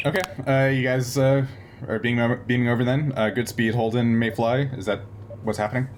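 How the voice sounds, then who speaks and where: A man acting as game master, explaining tabletop game rules off the cuff in a halting, explanatory way. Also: mid-range voice, level tone, slight background noise.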